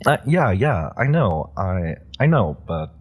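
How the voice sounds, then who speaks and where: A man's voice: low-pitched, very animated, slow pace, breathy edge, heavily acted. A man playing an eager, awkward young male super-powered rookie, rambling with nervous laughs and many fillers. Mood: hurt. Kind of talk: audio roleplay acting.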